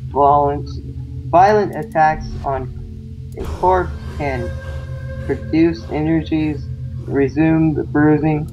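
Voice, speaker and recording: A young man in a gaming video, switching between casual chat and halting reading aloud, stumbling over words. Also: a little high, fairly even intonation, dull audio. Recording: music playing over the voice.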